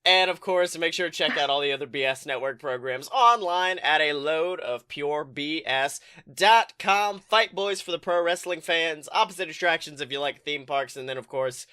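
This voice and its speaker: A man hosting a comedic podcast with casual, joking, profane banter in an animated manner. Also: fairly high pitch, moderate pace, hardly any pauses, clean tone. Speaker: podcast host.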